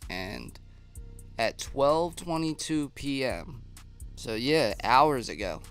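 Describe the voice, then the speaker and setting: A man's voice: mid-range voice, very animated, slow, a bit bright, faint music behind. A man with a stuffy nose hosting a casual live stream, chatting and reading viewer comments aloud.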